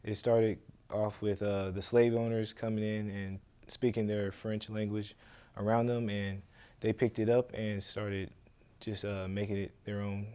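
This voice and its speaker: A young man from Haiti answering interview questions in a relaxed, halting way, with a few fillers. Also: fairly low pitch, smooth.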